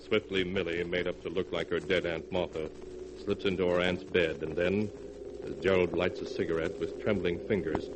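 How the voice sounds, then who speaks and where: A man's voice: low-pitched, steady tone, moderate pace, lightly acted. A man narrating a 1940s radio horror drama in a grave, ominous tone. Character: solemn male storyteller of the series. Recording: hissy 1940s radio recording.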